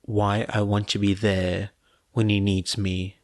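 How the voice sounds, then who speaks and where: A man narrates as a reserved teenage brother in an audiobook story, earnest and troubled. He speaks slowly and lowly, with halting, understated phrasing and a dry sound. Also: little pitch movement, no echo. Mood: hesitant. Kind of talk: audiobook character dialogue.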